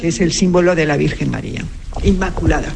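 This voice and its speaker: A woman who is a cloistered nun, explaining her order's customs in a calm, measured way. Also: deep voice, gravelly, noisy background.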